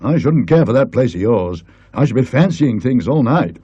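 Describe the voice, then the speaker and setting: A man's voice: medium pitch, expressive, moderate pace, warm tone, breathy edge, no room sound, lo-fi sound. A man playing a blunt, teasing village smith with a rural English accent in a storybook reading. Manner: rustic, insinuating.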